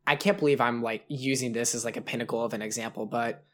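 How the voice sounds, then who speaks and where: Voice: medium pitch, steady tone, fast, a bit bright. A podcast co-host in a casual film review chat, rambling and analytical with many fillers.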